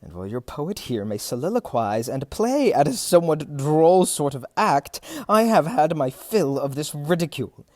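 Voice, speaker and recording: An actor playing a proud, hot-tempered captain, declaiming in a theatrical period audio drama style. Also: male voice, on the high side, very animated, moderate pace, few pauses, no room sound. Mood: bitter. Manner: declamatory, indignant.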